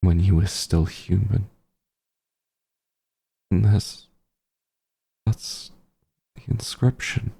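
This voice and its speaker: A low-voiced, embittered fantasy hunter, acted by a man in an audio roleplay. He speaks in slow, halting phrases with intense menace. His husky voice carries cruelty with grief underneath it. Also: dark tone, heavily acted. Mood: stunned. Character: bitter male werewolf hunter, cruel, grieving, shaken. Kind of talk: dramatic audio roleplay monologue.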